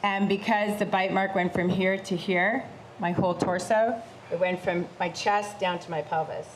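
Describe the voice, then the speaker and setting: A woman with a big voice telling her story to a live audience, animated and gesturing as she talks. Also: lowish voice, normal speed, slight background noise, poor audio. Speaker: shark attack survivor and guest speaker. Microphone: handheld microphone.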